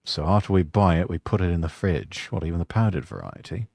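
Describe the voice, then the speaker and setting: A man's voice: deep voice, big pitch swings, fast talker, gravelly, darkish tone, no room sound, clean studio sound. An English man chatting casually with wry jokes while playing a game with guests. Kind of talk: casual chat while gaming.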